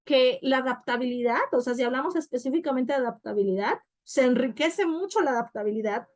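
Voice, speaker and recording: A woman's voice: high-pitched, animated, fairly quick. A young woman, co-hosting a podcast and reflecting on her past in casual talk, often with "o sea". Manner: reflective, many fillers like "o sea". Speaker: podcast co-host.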